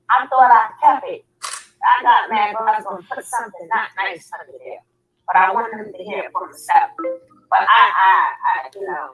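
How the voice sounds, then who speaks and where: Hoarse, raspy-voiced woman host. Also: a little high, a bit bright, poor audio. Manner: laughs often, repeats words and phrases. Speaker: livestream host. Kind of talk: casual livestream chat.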